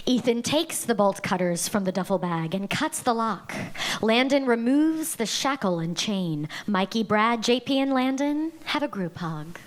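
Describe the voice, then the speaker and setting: A woman reading out the stage directions and scene headings at a live script table read, in a steady matter-of-fact way. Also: a little high, measured pace, hardly any pauses.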